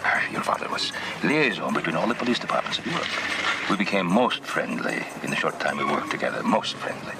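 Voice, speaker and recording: A man's voice: a bass voice, big pitch swings, a little fast, vocal fry, loud music behind the voice, heavily acted. An actor playing a formal, polite male police inspector in a 1970s TV drama. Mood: calm.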